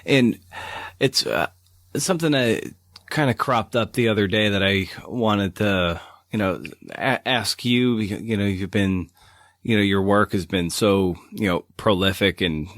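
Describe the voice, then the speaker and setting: A man's voice: fairly low pitch, no room sound, studio quality. A man hosting an interview podcast, casually asking questions in a hesitant, rambling way full of "you know". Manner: hesitant, rambling phrasing with many you-knows. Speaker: podcast host.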